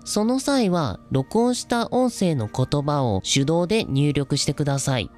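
Youthful, androgynous man with lively pitch. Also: measured pace. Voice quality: youthful, androgynous, feminine-sounding. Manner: polite. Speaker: video host. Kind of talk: scripted explainer talk to viewers. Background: background music.

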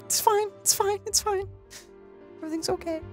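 A woman's voice: very high voice, steady tone, normal speed, soft background music. A woman co-hosting a let's play, commenting casually on the game in a playful, sarcastic way.

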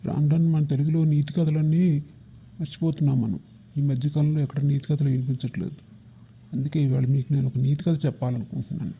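A man's voice: on the high side, level tone, fairly quick, gravelly, dark tone, a little hiss or hum. A man telling a moral story informally, explaining it conversationally to young listeners as their teacher. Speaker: storyteller speaking as a teacher to children. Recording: muffled, dull lo-fi audio.

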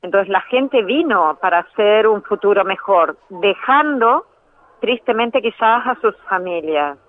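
A woman guest calling in by telephone, explaining ideas in a measured way and sometimes correcting herself mid-phrase. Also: mid-range voice, lively intonation, no echo. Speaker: phone-in guest, trainer in biological decoding. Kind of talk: explanatory interview answers. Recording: tinny phone line.

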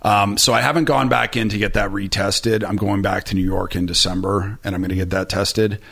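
Deep gravelly man, guest on a podcast. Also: fairly even intonation, brisk, a bit bright. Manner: rambling, self-deprecating, many fillers and restarts. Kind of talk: candid conversational interview. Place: small room with bright orange shelves. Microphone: boom-arm studio mic close to mouth.

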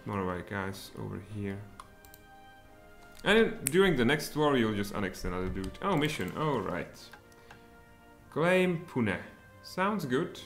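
A man in his thirties with a Czech accent, streaming a strategy game and thinking aloud, with sudden excited outbursts. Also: mid-range voice, measured pace. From Czech Republic. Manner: mutters thoughts aloud, then bursts out excitedly. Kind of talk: live strategy game commentary. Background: faint music and keyboard typing.

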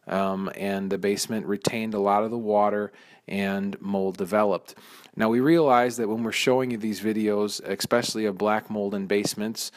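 A man with a low, voice talks through a job site as a mold removal contractor. He explains each step in a plain, informal way, often pausing and restarting mid-sentence. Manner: explanatory, frequent fillers and restarts. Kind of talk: informal walkthrough narration.

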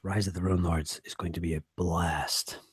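A hoarse man in his forties, a game master losing his voice, chatting casually and earnestly on a live stream from a small home study into a desk microphone. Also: deep voice, level tone, normal speed, no echo, clean studio sound. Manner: rambling, earnest, trailing off quietly. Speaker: game master and channel host.

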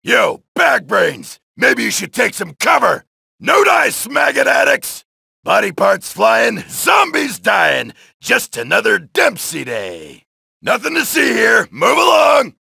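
A loud, gravelly male soldier from a zombie shooter game hurls one-liners at the undead. The tone is bright and raspy with big leaps in pitch. The voice lines sound dry and close to the mic. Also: wildly swooping pitch, takes it slowly, no room sound, full character voice. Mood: taunting. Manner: shouted taunts and one-liners. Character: brash, wisecracking male soldier blasting zombies. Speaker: video game soldier character.

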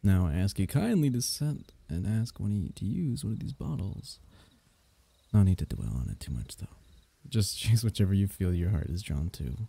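A man playing an affectionate, teasing royal diviner in an intimate fantasy ASMR roleplay, speaking haltingly with courtly wording. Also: deep voice, sing-song, heavily acted. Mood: teasing, fond. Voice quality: mellow, gravelly.

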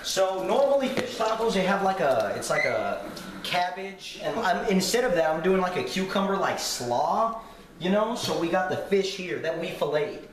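A man's voice: high voice, animated, moderate pace, reverberant, crowd noise, low-quality recording. A young man filming himself cooking in a home kitchen, explaining casually and swearing with cocky confidence. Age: young adult. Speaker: home cook vlogger. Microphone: handheld camera nearby.

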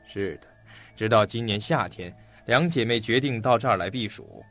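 Confident, talkative detective, music behind. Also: male voice, lowish voice, moderate pace, a bit bright. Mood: assured storytelling. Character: shrewd, talkative, self-assured male detective recounting a case. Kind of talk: Chinese audio mystery drama acting, storytelling delivery.

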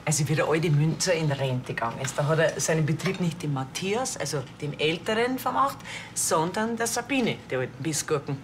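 A woman's voice: deep voice, lively intonation, brisk, background noise. An actress playing a blunt, gossipy bakery woman with a Bavarian accent in a television crime comedy.

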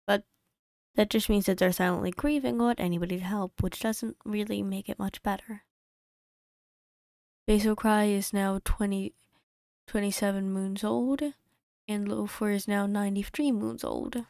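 A woman's voice: mid-range voice, fairly even intonation, creaky, a little mellow, no echo, studio quality. A woman narrating her game playthrough in a casual voice-over from a home desk mic, rambling and correcting herself as she goes. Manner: rambling, self-correcting, quick asides. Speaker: YouTube creator.

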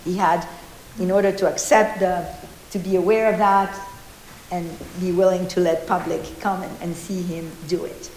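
A woman in her fifties from Argentina gives an informal art history talk, animated and gesturing, with many restarts and fillers, recorded by a distant camera in an old meeting house. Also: on the low side, normal speed, a little hiss or hum. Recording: roomy hall echo.

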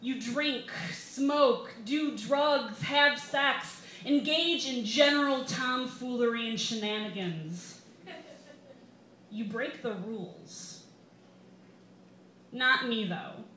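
A young American woman reads a funny personal essay in a live setting. Her voice is fairly high and bright, with deliberate, wry emphasis. The recording is roomy, with a little background noise. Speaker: stand-up comedian reading an essay. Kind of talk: comic personal essay read aloud to a live audience. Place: small brick-walled bar, indoors. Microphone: stand microphone, camera recording.

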